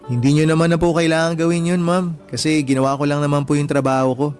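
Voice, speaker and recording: A man's voice: a little high, little pitch movement, fast talker, a little mellow, faint music behind, lightly acted. A man playing a shy, humble and deferential young man in a radio drama. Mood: polite, shy. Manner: polite and deferential. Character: humble, shy, self-effacing young layout artist born one-handed.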